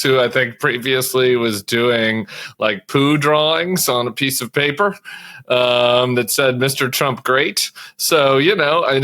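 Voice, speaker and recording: A man's voice: medium pitch, bright, forceful tone. An American man giving casual political commentary in a wry, rambling way.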